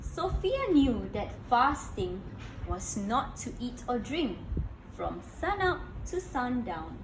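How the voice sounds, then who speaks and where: Female children's read-aloud host, high and very expressive, sing-song delivery, roomy and noisy recording. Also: takes it slowly. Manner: sing-song, addressing young listeners with questions. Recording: echoey room with background noise.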